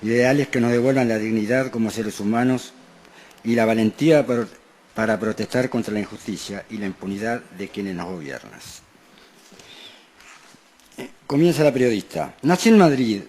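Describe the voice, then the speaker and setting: A man's voice: medium pitch, moderate pace, darkish tone. A man co-hosting a radio show, reading a printed interview aloud. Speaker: radio co-host. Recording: noticeable background noise.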